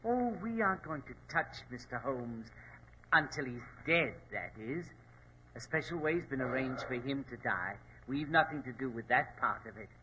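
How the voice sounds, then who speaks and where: Creepy unctuous mortician, piercingly bright, gloating politeness, old radio drama, dull noisy sound. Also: male voice, medium pitch, animated, moderate pace. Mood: gloating menace. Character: sinister, unctuous male mortician, courteous henchman. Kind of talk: 1940s radio drama acting.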